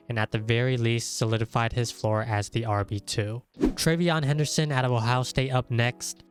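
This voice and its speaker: A man with a nasal, congested voice from a cold, methodically reading through a scripted sports breakdown player by player. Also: medium pitch. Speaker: draft analysis creator.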